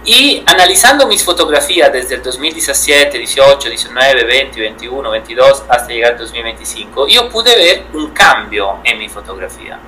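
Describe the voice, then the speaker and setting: A man's voice: mid-range voice, lively intonation, normal speed, hardly any pauses, bright, forceful tone, a little hiss or hum, poor audio. A man in his thirties from Italy, speaking Spanish with an Italian accent, answering interview questions thoughtfully with frequent hesitations. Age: 30s. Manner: thoughtful, hesitant, many fillers and restarts. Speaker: guest photographer.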